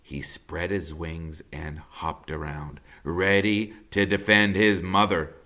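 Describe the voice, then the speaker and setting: A man reading a children's novel aloud in a theatrical, emphatic storytelling style, with dramatic pauses. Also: low-pitched, flat delivery, pushed and bright.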